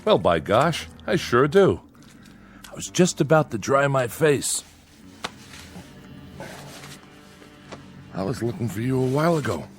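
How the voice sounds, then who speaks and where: A man's voice: mid-range voice, big pitch swings, normal speed, soft background music, lightly acted. A man playing a nervous farmer father with an American accent in a radio drama, folksy, hesitant and far too agreeable. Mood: folksy unease.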